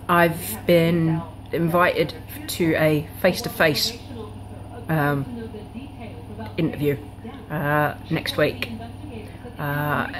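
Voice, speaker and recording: A woman, chatting casually to camera in a hesitant, rambling way in a living room. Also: low voice. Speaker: vlogger. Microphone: camera or webcam at arm's length.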